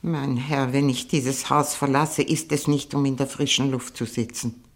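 A woman playing a sharp-tongued, haughty old aunt, frail but proud, in a 1960 German radio play. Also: very deep voice, fairly even intonation. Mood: haughty, weary. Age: older. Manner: haughty, mocking, deliberate.

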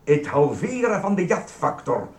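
An actor playing a grumpy, officious supermarket security guard in a radio play, brusque, suspicious and lecturing. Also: male voice, high-pitched, very animated, normal speed, darkish tone. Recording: roomy echo, music behind.

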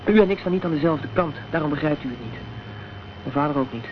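An actor playing an injured, guarded young activist in a Dutch radio drama, speaking hesitantly and evasively. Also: male voice, a little high, fast, close to an everyday voice. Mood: defiant. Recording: dull, noisy old radio recording.

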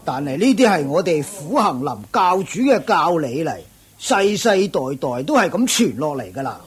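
A man's voice: fairly high pitch, sing-song, normal speed, slightly rough, full character voice. A man playing a complacent senior ascetic master in a radio drama, hemming and sighing in Cantonese with a Hong Kong accent. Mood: puzzled, defensive. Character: senior ascetic master, dogmatic, complacent old Taoist-style teacher. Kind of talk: Buddhist radio drama acting.

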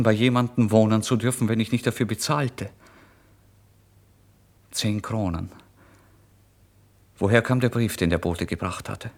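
An actor playing a starving, proud and agitated down-and-out man in a dramatic literary radio monologue. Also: lowish voice. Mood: agitated, feverish. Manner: dramatic, swinging from hushed brooding to indignant outbursts.

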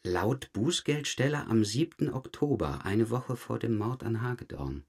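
An actor playing a methodical, calm police detective in an audio crime drama, measured, understated and politely insistent. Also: male voice, medium pitch, husky. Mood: calm, probing. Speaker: police investigator.

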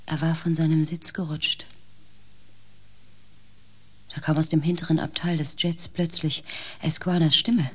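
A woman's voice: low-pitched, breathy edge. An actress playing a female space pilot, grave and intense, in a science fiction radio play. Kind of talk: radio play acting, dramatic first-person narration.

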